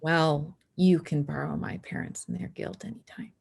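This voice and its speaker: A woman's voice: sits low, fairly even intonation, normal speed, creaky, a little mellow, slightly rough, heavily acted. A woman reading the part of a guarded, anxious but wry teenage snowboarder girl at a screenplay table read. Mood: wry, friendly.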